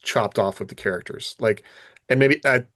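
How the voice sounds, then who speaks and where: A man's voice: fairly low pitch, normal speed, fairly bright tone, no room sound, clean studio sound. A man co-hosting a podcast as a writer, discussing craft casually in a tentative, explanatory way.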